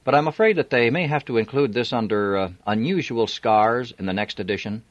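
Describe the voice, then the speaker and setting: A man reading a novel aloud, voicing a quick-witted woman who talks gently and coolly while playing a part. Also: mid-range voice, no room sound, dull audio, voice pushed up, close to an everyday voice. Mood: wry. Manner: gentle, soothing. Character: woman posing as sister, sly and calm.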